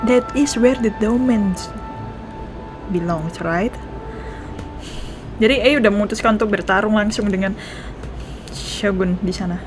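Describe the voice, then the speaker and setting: A woman with an Indonesian accent giving casual, excitable reaction commentary as she plays a game, often repeating her phrases. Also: medium pitch, normal speed, long pauses. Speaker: game streamer. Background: game music.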